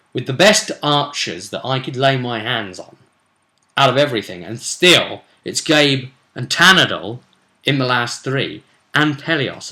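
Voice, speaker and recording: British male, bright forceful voice, unhurried game master recap, chatty with playful asides. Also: medium pitch. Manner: chatty, digressive, with playful asides and emphatic bursts. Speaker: game master recapping a tabletop role-play session. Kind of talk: casual animated recap to camera.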